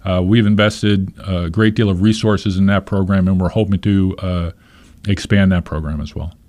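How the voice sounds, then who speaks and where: Low-voiced man talking plainly, dry sound. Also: steady tone, moderate pace, a little mellow, no echo. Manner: conversational, many "uh" fillers and restarts. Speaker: podcast host, here the guest. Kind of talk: casual interview answers.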